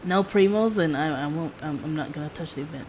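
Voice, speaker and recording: A woman's voice: sits low, fairly quick, background noise. A woman game streamer chatting casually to her viewers during a livestream. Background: music.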